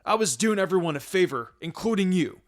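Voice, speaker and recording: A man voicing a panicky, defiant male traitor ninja who shouts and stammers in a story reading. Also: sits high, lively intonation, brisk, pitched above the performer's own voice. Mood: terrified, defiant.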